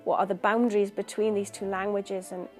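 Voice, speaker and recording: A woman's voice: medium pitch, flat delivery, fairly quick, loud music behind the voice. Earnest woman poet. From Wales. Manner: earnest, thoughtful, searching for words. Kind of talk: reflective interview talk.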